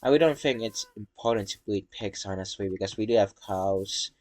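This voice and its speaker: A man's voice: lowish voice, no room sound. Tired, rambling young man narrating a tour. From Indonesia. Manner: halting, rambling, many fillers and restarts. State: tired from talking. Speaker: Minecraft world tour vlogger. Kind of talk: casual gameplay walkthrough narration.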